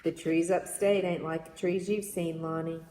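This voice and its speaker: A woman's voice: lowish voice, fairly even intonation, moderate pace, warm tone, close to an everyday voice. A woman voicing an easygoing, folksy young man who loves nature, in a storybook read-aloud in an echoey room. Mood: folksy, admiring. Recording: echoey reverberant room with background noise.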